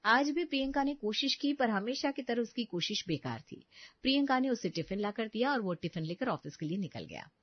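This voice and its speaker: A woman's voice: fairly high pitch, big pitch swings, brisk, husky, dry recording, highs cut off. A woman reading a scripted family story as its narrator.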